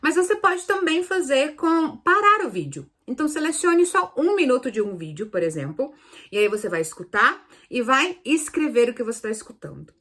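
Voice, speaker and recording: A woman's voice: high-pitched, very animated, normal speed, fairly bright tone. A woman with a Brazilian accent giving a casual, explanatory video lesson as a language teacher, restating key points in a didactic way. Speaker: Spanish teacher hosting a video lesson series.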